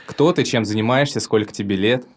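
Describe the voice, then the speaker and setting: A man's voice: on the low side, fairly quick. A man hosting a podcast interview, asking questions in a friendly, laughing manner. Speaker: podcast host. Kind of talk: casual interview.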